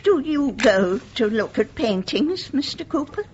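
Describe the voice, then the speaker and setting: An older British actress playing a genteel, courteous and spirited widow of eighty-four in a radio play. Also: female voice, high-pitched, big pitch swings, measured pace, a little mellow, a little hiss or hum. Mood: gentle, chatty. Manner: courteous, polite formal address. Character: genteel, chatty 84-year-old widow, vain and spirited. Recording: dull, muffled radio play recording.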